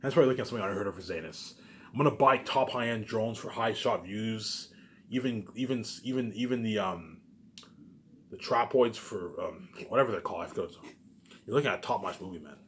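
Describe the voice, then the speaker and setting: Fast-talking man rambling emphatically. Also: on the low side, slight background noise. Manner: repetitive, emphatic, with frequent rhetorical tag questions. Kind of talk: rambling casual monologue about personal plans.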